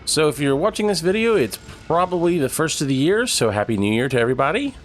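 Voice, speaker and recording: A man's voice: a little high, quick pace, soft background music. A man with a cold, sounding nasal, casually commenting on his gameplay. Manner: relaxed, thinking aloud. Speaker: gaming channel host.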